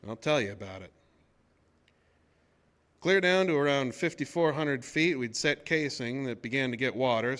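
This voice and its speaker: An actor playing a folksy, easygoing oil driller in a horror audio drama, talking in colloquial rural speech. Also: male voice, mid-range voice, lightly acted. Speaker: narrator and lead character. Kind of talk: first-person horror audio drama storytelling. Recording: noisy track with ambient sound.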